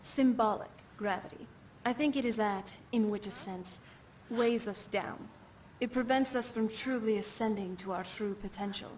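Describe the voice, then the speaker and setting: A woman's voice: mid-range voice, a little mellow, distant mic, a little hiss or hum, natural voice. Woman acting a contemplative, bookish fantasy philosopher. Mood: musing. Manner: contemplative, deliberate, articulate. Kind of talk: video game character monologue.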